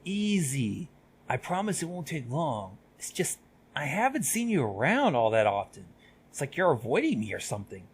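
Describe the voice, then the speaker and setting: A man's voice: medium pitch, very animated, moderate pace, a little hiss or hum. A man voicing a young male griffin student with a casual, coaxing manner in a story reading.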